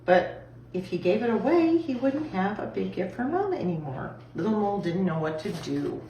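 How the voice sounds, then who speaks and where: A woman reading a children's story aloud in an expressive style, recorded in an echoey room. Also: medium pitch, normal speed. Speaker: storybook reader. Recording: echoey room, distant mic, faint noise.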